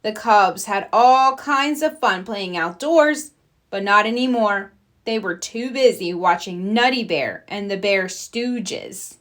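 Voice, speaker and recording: A woman narrating a children's picture book in an expressive storytelling style. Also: mid-range voice, slow.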